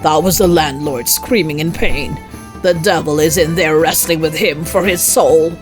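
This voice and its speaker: Woman as a calm, crafty peasant husband, lowered pitch. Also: moderate pace, over music, close to an everyday voice. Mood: urgent, sly. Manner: casual, matter-of-fact.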